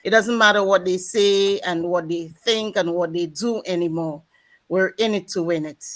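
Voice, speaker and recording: Low-voiced determined woman on a call. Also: moderate pace. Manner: determined, hesitant with ums. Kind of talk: casual testimonial on a group call.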